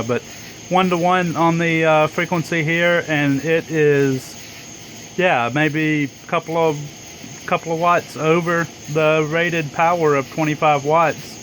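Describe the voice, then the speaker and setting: Higher-voiced man, casual and rambling. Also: fairly bright tone. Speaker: amateur radio operator testing a radio. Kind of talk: casual radio chat. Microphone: handheld phone camera. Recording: noisy phone recording, insects, air conditioner. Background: insects chirping, air conditioner hum.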